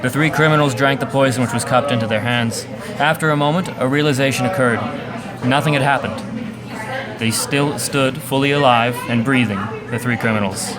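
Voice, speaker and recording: Brief: male narrator for amateur radio drama, mid-pitched, scripted storytelling with music underneath. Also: normal speed, a loud crowd.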